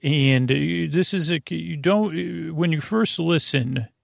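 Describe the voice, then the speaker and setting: A man hosting a bedtime podcast in a meandering, halting, self-deprecating monologue with whimsical asides. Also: fairly high pitch, gravelly, dry recording, studio quality. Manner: whimsical, acting out little imaginary exchanges. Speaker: podcast host.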